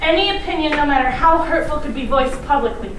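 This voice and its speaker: Female stage narrator, high voice, theatrical and emphatic, heard from far off in a reverberant hall. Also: expressive, fast, fairly bright tone. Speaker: readers theatre performer. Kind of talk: theatrical ensemble storytelling on stage. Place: large indoor performance space, far mic. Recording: echoey hall from far off, noisy.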